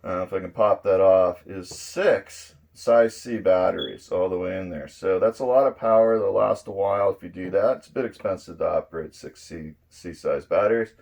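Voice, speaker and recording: A middle-aged man giving a casual, unhurried hands-on demonstration, explaining each step as he goes. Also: deep voice, gravelly, darkish tone. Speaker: presenter of electronics demo videos. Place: indoors at a draped table.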